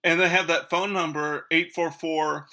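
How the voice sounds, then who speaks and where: A man's voice: a little high, fairly even intonation, moderate pace, bright, forceful tone. A man hosting a podcast in casual comedic chat, with a dry, mock-earnest manner. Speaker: podcast host.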